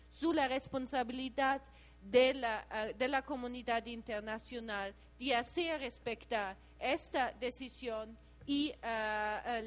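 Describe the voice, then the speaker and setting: Italian woman activist, non-native, halting public address, pitched a bit high. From Italy. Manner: hesitant, many filler sounds and restarts. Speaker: activist speaker. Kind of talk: public speech at an assembly.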